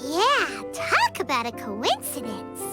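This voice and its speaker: A woman voicing a tiny, excitable, chatty childlike fairy companion in a video game, squeaky and cutesy. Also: slow, over loud music, heavily acted. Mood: teasing. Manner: exclamatory, cutesy.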